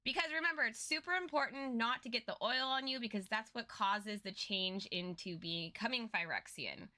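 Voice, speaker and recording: Bright, higher-pitched woman bantering casually. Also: expressive, normal speed. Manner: playful, joking interjections. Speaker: co-host of a lore recap show. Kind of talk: casual commentary.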